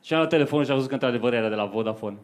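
A young man performing stand-up comedy in a conversational storytelling manner into a handheld microphone on a club stage. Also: mid-range voice. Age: young adult. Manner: conversational storytelling to a live audience. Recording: faint noise, live club stage recording.

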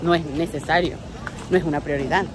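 A woman's voice: sits low, fairly even intonation, a little fast, poor audio. A woman talking casually and playfully to her viewers in a family vlog, speaking from inside a store. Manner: chatty, playful, addresses viewers directly. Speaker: family vlogger. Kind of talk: casual vlog chat to camera. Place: indoor store pharmacy area. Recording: music playing in background.

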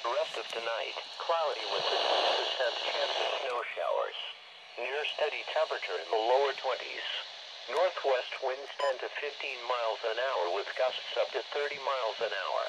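A computerized male announcer reads forecasts formally and evenly measured, at a medium pitch. The voice comes out of a small weather radio speaker. Clear noise runs through the recording. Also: animated. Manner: measured, formal, synthetic delivery. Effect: synthetic text-to-speech voice played through a small radio speaker. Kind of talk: scripted weather forecast reading. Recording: noisy camera capture of radio speaker. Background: clear background noise.

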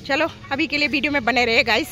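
A middle-aged woman chats straight to her viewers through a phone held out at arm's length. Her voice sits high. Loud background music plays under her outdoor night recording. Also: fast talker. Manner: chatty, talks straight to viewers. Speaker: vlogger. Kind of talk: casual travel vlog chat. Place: outdoor cafe and street at night. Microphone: phone camera held at arm's length.

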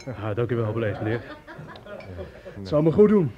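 A man's voice: mid-range voice, big pitch swings, normal speed, lightly acted. An actor playing a deferential, freeloading policeman with a hesitant manner in a radio play. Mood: deferential, pleased. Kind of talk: Dutch radio play acting. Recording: dull radio play, crowd behind.

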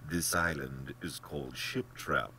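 A man's voice: very deep voice, fairly even intonation, measured pace, pushed and bright, slight background noise, heavily acted. A man voicing a genteel, smug, cold-blooded general who hunts men, speaking with pompous, blandly polite theatrical emphasis in an audiobook. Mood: smugly sly. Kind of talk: dramatic audiobook character acting.

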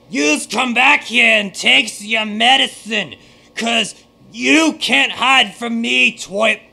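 An actor playing a gruff, menacing customer in a radio play, talking in slangy tough-guy speech. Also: very high-pitched, bright, forceful tone, a little hiss or hum, full character voice. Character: gruff menacing male swindled customer, thuggish.

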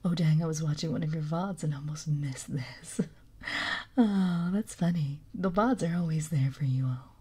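A woman streamer whispering softly in an intimate ASMR livestream, with a raspy, deep voice and frequent giggles. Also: normal speed.

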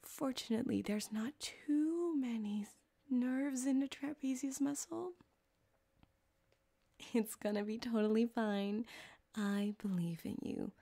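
A woman's voice: fairly high pitch, unhurried, warm tone. A woman playing a flirty, sadistic cyberpunk surgeon in an ASMR roleplay, coaxing and teasing, drifting into whispers on a close microphone. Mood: soothing. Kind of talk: ASMR roleplay, intimate one-sided scene. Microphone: close binaural microphone.

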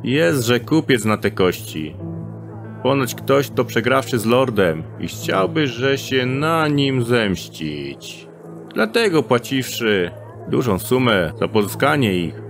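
A man's voice: mid-range voice, sing-song, takes it slowly, soft background music. An actor playing a sly male thief in an audio drama, speaking in stilted archaic phrasing and coaxing his friend into a scheme. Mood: scheming.

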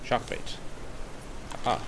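A man's voice: drawn-out words, noisy background. A man in his thirties chatting to himself as he plays a game, on a headset mic. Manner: chatty, talks to himself while playing. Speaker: streamer. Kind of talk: casual gameplay commentary. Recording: game music under the voice.

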